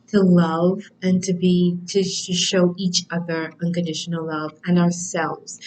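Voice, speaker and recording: A woman giving a spiritual oracle card reading in a gentle, affirming way, with frequent ums and soft laughs, her voice sometimes dropping low. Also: slight background noise, rough recording.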